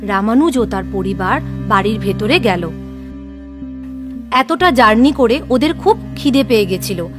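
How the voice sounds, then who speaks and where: A woman's voice: a little high, lively intonation, measured pace, pauses often, over music. A woman narrating a scripted horror story.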